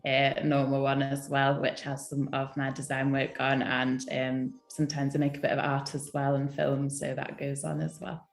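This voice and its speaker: Low-voiced hesitant young Englishwoman. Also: level tone, moderate pace, creaky, hardly any pauses, echoey room. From England. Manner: hesitant, many ums, soft laughs. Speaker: guest designer. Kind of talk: casual live-stream chat.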